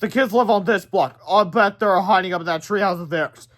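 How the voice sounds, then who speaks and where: A cowardly school principal, put on by a man reading aloud talks in a high, shrill pitch. He is excitable and pleading, a panicky storybook grown-up. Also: a little fast. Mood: eager snitching.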